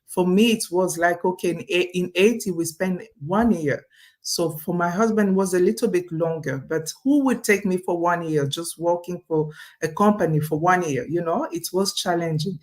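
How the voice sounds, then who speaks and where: A woman's voice: fairly low pitch, normal speed, a little mellow. Woman, from Congo, interview guest, chatty, fillers, video call. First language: French. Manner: chatty, laughs often, many fillers and restarts. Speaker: guest, online business owner. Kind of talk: casual interview talk.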